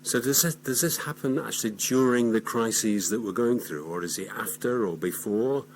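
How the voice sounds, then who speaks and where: A man's voice: medium pitch, moderate pace, slight background noise. A man asking questions as a radio interviewer in a conversational style.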